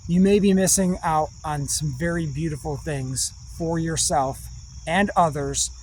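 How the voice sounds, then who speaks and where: A man giving a rambling spiritual monologue in slow, drawn-out, meditative phrases with long pauses. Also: on the high side, steady tone, a little hiss or hum, rough recording. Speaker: spiritual speaker.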